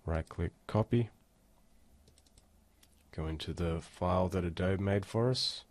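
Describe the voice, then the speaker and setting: A man giving a casual, step-by-step software walkthrough as an instructor. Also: sits low, a little slow. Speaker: tutorial instructor. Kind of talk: screen-recorded software tutorial, casual walkthrough.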